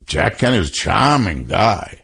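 An older man with a strong Boston accent and a husky voice, telling a casual anecdote in an interview. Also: lowish voice, big pitch swings, normal speed, a bit bright.

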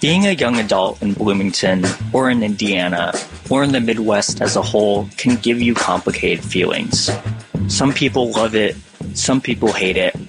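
A man reading scripted documentary narration in a steady, explanatory way. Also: fairly low pitch, normal speed, rough recording. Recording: music underneath. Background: music.

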